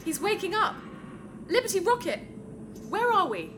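Caring girl space pilot, high lively voice, reassuring, urgent, echoey, sci-fi audio drama. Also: moderate pace, noisy background. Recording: echoey long reverb, loud music.

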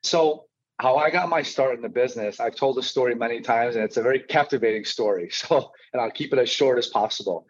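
A man's voice: mid-range voice, brisk. Storytelling male guest, slightly dull audio. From Chicago, United States. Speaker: podcast guest, mortgage branch manager and coach. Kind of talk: conversational personal storytelling.

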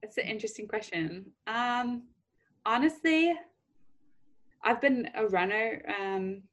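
A woman's voice: a little high, lively intonation, takes it slowly, fairly bright tone. Chatty young American woman, laughing often. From Pacific Northwest US. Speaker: interview guest. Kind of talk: casual conversation.

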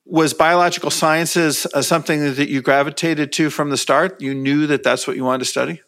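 A man interviewing a guest, asking friendly, measured questions with some fillers. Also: on the high side, steady tone, a bit bright. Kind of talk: conversational interview.

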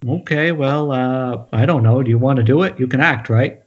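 Casting: man as erratic writer-director, raspy mid-range voice, deadpan comedic script read. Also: mid-range voice, normal speed, close to an everyday voice. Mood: offhand, blunt. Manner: blunt, offhand, erratic. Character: blunt, erratic, drug-addled male horror writer turned director. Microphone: remote video-call microphone. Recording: muffled internet call.

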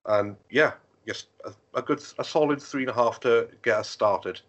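A man's voice: mid-range voice, normal speed. A man co-hosting a casual podcast in an easygoing way, steering the chat and wrapping it up. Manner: easygoing, steers the show with wrap-ups and sign-offs. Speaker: podcast co-host. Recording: dull lo-fi podcast audio.

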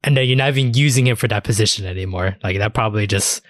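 A man's voice: fairly low pitch, racing, no echo, clean studio sound. A man hosting a casual anime discussion, talking in a rambling, slangy way with casual swearing. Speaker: podcast host.

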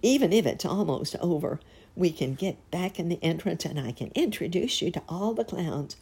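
A woman's voice: fairly low pitch, lively intonation, moderate pace, darkish tone, breathy edge. A woman voicing a kindly, earnest little male clown in a storybook tale, coaxing and encouraging. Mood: excited.

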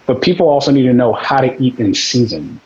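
A man's voice: mid-range voice, animated, fairly quick, a little mellow, dull audio, a little hiss or hum. A man in casual podcast chat, speaking bluntly with some swearing and acting out the people he quotes.

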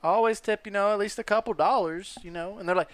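Smooth-voiced rambling man. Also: high voice, fast, no echo. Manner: rambling, self-mocking, drawling. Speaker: podcast co-host. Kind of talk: casual storytelling chat.

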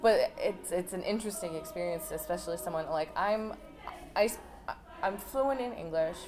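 A young woman in her twenties interviews a guest about theatre for her research. Her voice sits a little low, and she talks in a chatty, restarting way. Music plays clearly beneath the conversation. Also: normal speed. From Los Angeles, United States. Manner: chatty, many fillers and restarts, laughs easily. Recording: music playing underneath.

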